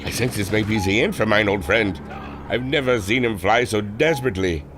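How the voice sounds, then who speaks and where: A man's voice: fairly low pitch, fairly quick, background noise, close to an everyday voice. A man playing an earnest, remorseful German airship adventurer with a German accent, solemn and melodramatic. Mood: alarmed, urgent. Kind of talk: old-time radio adventure serial acting.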